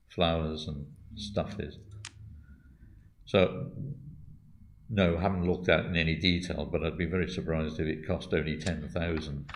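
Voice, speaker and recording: A man answering questions on church accounts at a congregational meeting, hesitant and full of qualifiers. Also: low-pitched. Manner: hesitant, with many restarts and qualifiers. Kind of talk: meeting talk, thinking aloud.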